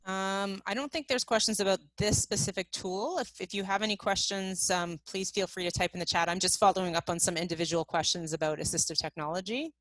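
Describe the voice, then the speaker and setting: A woman co-hosting a webinar, relaying questions from the chat in a relaxed, hesitant way. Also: mid-range voice, fairly quick, few pauses, dry recording, low-quality recording. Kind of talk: casual moderation.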